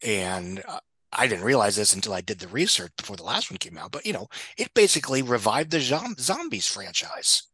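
Male film pundit, raspy bright voice, very lively intonation, opinionated and conversational. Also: lowish voice, a little fast, no echo. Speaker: guest box office commentator. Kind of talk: casual film analysis.

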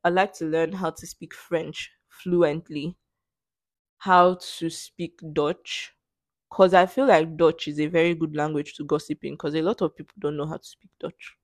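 A woman's voice: low-pitched, level tone, measured pace, dry recording. A young woman with a Nigerian English accent, host of a casual solo podcast, answering questions in a playful, sarcastic way. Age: young adult. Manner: sarcastic, playful, laughs often.

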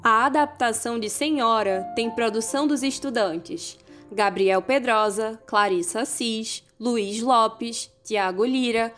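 Radio credits announcer: Brazilian woman, fairly high voice, scripted read over light music. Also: a little slow, fairly bright tone.

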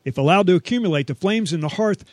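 A man's voice: sits high, lively intonation, fast talker, darkish tone, dry recording. A man co-hosting a home-improvement radio show, trading scripted tips and banter with his partner.

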